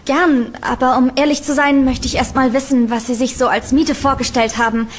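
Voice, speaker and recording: A woman's voice: sits high, steady tone, moderate pace, a little hiss or hum, close to an everyday voice. A woman playing a polite, earnest young student in an audio drama. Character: polite, slightly timid young female student.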